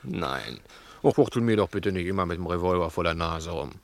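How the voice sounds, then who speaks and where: A male actor playing a cool, calculating, sardonic crook with a deliberate, condescending manner in a 1960s German radio play. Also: low voice, expressive, vocal fry.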